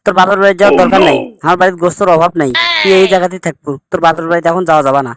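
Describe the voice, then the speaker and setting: A man's voice: high-pitched, racing, no echo, clean studio sound, lightly acted. A man playing a bossy, bickering village husband in a comic cartoon, with a northern Bangladeshi accent. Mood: scolding, bossy. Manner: scolding, blunt.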